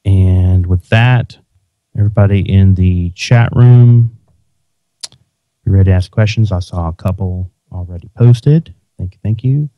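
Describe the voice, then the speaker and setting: A man's voice: low voice, unhurried, no echo. A man hosting a podcast, chatting casually and restarting his sentences often. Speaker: podcast host. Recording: dull lo-fi sound.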